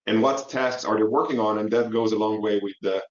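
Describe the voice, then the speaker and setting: A man from Israel, a company co-founder and CEO, presenting a webinar in a brisk, friendly way with clipped phrases. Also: on the low side. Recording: roomy, dull lo-fi webinar audio.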